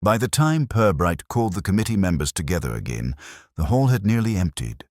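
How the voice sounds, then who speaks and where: A man narrating a gentle mystery audiobook in a measured, literary storytelling manner. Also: sits low, big pitch swings, dry recording, clean studio sound.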